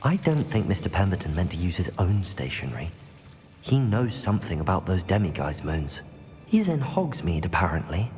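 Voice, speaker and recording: A man's voice: deep voice, big pitch swings, normal speed, darkish tone, soft background music. A young man playing a polite, earnest student adventurer in a video game, speaking courteously. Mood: musing. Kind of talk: video game dialogue acting.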